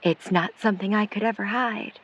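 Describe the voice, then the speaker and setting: A woman playing a protective, skeptical middle-aged mother in a 1950s New York audio drama, speaking in formal, measured, wordy sentences. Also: mid-range voice, steady tone, dull audio, slight background noise, natural voice. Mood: wistful. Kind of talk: vintage-set audio drama acting.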